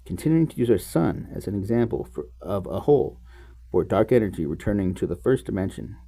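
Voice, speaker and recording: A man reading a book aloud as host, in an expressive, musing manner with offhand asides. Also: lowish voice, brisk.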